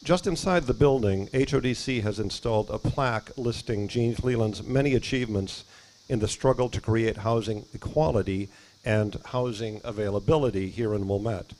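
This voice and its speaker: Man giving a measured ceremony speech outdoors. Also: on the low side, a little mellow, low-quality recording. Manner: measured, reads from prepared remarks. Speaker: family representative speaker. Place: outdoors at a ribbon cutting. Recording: faint outdoor noise with crickets.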